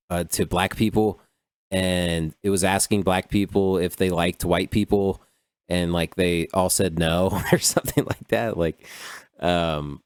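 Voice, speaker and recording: A man's voice: low voice, smooth, dry recording, clean studio sound. A man hosting a podcast by himself, riffing on news stories in a loose, profane, rambling way, full of "dude" and "like". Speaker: podcast host. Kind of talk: casual solo commentary on news.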